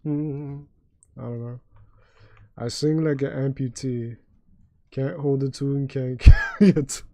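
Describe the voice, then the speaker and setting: A young man with a deep voice chatting loosely and profanely while streaming a game and taking chat requests. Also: huge pitch swings, slow pace, mellow, dry recording. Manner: loose, profane, joking, sings badly on request. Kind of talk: casual gaming livestream chat.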